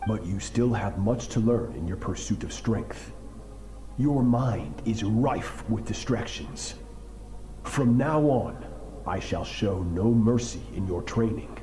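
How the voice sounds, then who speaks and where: Deep, cold, merciless sword master. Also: male voice, animated, dark tone, roomy sound, soft background music. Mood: cold, commanding. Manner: solemn, deliberate, commanding. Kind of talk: dramatic video game voice acting.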